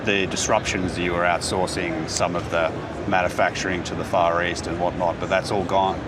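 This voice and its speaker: A male interviewer with a fairly low voice puts loose, halting questions in a casual style. He sounds some way from the microphone, with clear noise of a busy hall around him. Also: flat delivery. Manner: halting, rambling questions with hedges. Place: open booth in busy exhibition hall. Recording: distant from mic, noisy hall.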